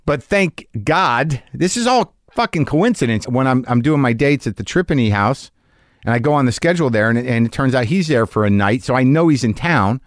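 A man's voice: medium pitch, animated, dry recording, studio quality. Rambling fifty-year-old male podcast host. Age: 50s. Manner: rambling, halting with many restarts. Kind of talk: casual podcast chat and monologue. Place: podcast recording garage.

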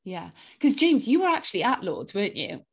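A woman's voice: medium pitch, wildly swooping pitch, brisk, creaky, slightly rough. A woman hosting a podcast, asking interview questions in a friendly way. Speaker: podcast host.

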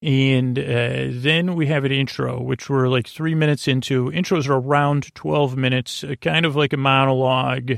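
A man hosting a bedtime podcast with a creaky voice and a lulling, goofy, meandering and halting delivery. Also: mid-range voice, fairly even intonation, dry recording, clean studio sound. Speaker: podcast host. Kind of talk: rambling bedtime monologue.

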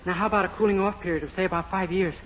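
Boyish, timid young man acted by a man, high voice, earnest sheepish delivery, vintage radio comedy with muffled sound. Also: steady tone, very fast, close to an everyday voice. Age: young adult. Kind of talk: 1940s radio comedy acting. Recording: dull, faintly noisy old radio recording.

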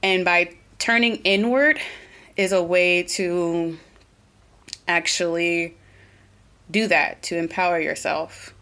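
A woman with an Oklahoma twang hosting her own solo podcast, talking earnestly and reflectively, pausing and restarting as she thinks aloud. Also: on the low side, steady tone, pushed and bright. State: a little nervous. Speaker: podcast host.

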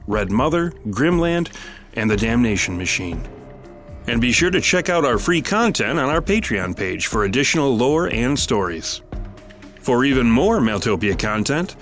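A man's voice: medium pitch, fairly bright tone. A man reading a scripted promotional announcement as a podcast host.

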